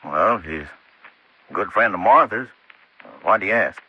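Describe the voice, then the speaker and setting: A husky, halting country farmer. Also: male voice, lowish voice, expressive, no room sound. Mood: defensive. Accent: rural American. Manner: halting, homespun, uneasy. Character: defensive, hesitant male farmer, a widowed murder suspect. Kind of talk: golden-age radio acting. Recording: muffled old-time radio recording.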